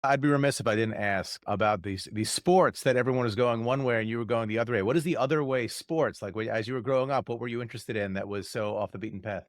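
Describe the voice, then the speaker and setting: A man's voice: mid-range voice, quick pace, hardly any pauses, no room sound, studio quality. A man from New Jersey co-hosting a podcast, asking curious, joking follow-up questions. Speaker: podcast co-host. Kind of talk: casual interview conversation.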